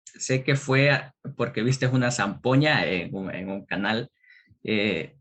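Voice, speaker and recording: A man hosting a podcast, first reading a guest introduction in a measured, formal way, then interviewing his guest. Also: on the low side, fairly bright tone. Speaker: podcast host.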